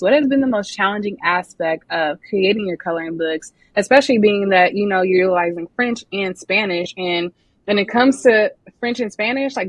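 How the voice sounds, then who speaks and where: A woman's voice: mid-range voice, no room sound. A woman hosting a podcast, keen and curious as she asks her guest questions. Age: young adult or older; has a daughter. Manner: enthusiastic, asks warm follow-up questions. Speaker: podcast host. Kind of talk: casual interview talk.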